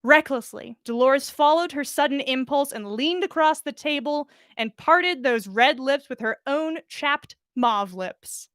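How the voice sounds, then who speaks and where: Casting: woman podcast host, highish and very bright, expressive reader with a casual livestream style. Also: unhurried, few pauses, no echo, clean studio sound. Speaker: podcast host reading fan fiction. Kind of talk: expressive story reading and casual livestream chat.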